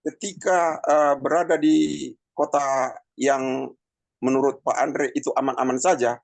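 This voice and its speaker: A man in his fifties giving a personal testimony in a halting, conversational way, with many pauses and fillers. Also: mid-range voice, fairly even intonation, vocal fry, no echo. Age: 50s. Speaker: testimony speaker.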